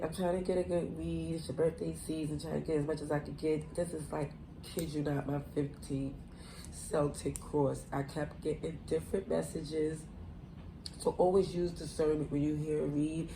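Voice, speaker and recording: A woman's voice: deep voice, noisy background. A woman with a cold, her voice stuffy and nasal, giving a loose, rambling tarot reading full of "you know" and "like". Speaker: tarot reader. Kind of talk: casual tarot reading to camera.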